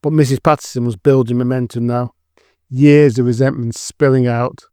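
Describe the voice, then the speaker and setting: A man's voice: medium pitch, steady tone, moderate pace, warm tone, dry recording, clean studio sound. A man giving scripted dramatic narration for an audio drama.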